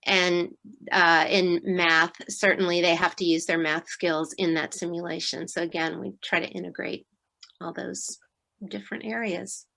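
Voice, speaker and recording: A woman fifth grade teacher explaining her curriculum at a virtual open house, in a measured way with many fillers. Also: on the low side, bright, forceful tone. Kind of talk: school open house presentation. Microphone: video call.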